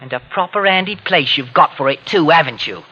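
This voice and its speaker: An actor playing a bitter, suspicious young working-class English nephew in a 1940s radio drama, sarcastic and sullen. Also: male voice, on the high side, expressive, brisk, bright, forceful tone, breathy edge, heavily acted. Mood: suspicious, accusing. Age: young adult. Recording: dull old 1940s radio recording.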